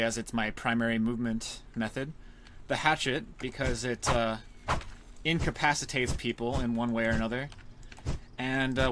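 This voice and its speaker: A man with a mid-range voice commentates casually on his live speedrun. He is joking and tongue-in-cheek, with clear noise and clicking from the game behind him. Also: medium pitch. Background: game sounds and clicks.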